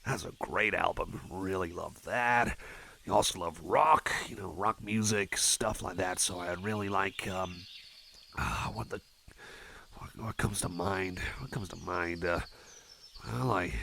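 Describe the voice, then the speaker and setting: A man's voice: low-pitched, a little slow, vocal fry, pushed and bright, slight background noise, exaggerated voice. A man playing a shy, friendly cyborg chum in an ASMR roleplay, talking in a hesitant, stammering, rambling way. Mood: casual, rambling. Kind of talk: personal attention ASMR roleplay.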